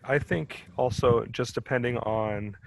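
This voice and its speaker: A man's voice: mid-range voice, unhurried, vocal fry, no room sound, low-quality recording. A young man on a discussion panel, talking casually and filling his sentences with "like.". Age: young adult. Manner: casual, full of "like" fillers.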